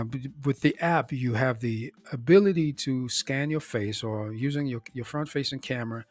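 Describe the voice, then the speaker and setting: A slightly hoarse man casually running through his startup projects, speaking in a halting way with many fillers and restarts. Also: mid-range voice, no echo. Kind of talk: casual spoken rundown of projects.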